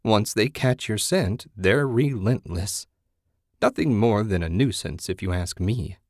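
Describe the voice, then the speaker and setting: A man voicing an eerie old woman hermit in a story, folksy and hospitable yet sly, with drawn-out phrasing. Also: lowish voice, expressive, darkish tone, no room sound, studio quality. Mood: knowing, unruffled.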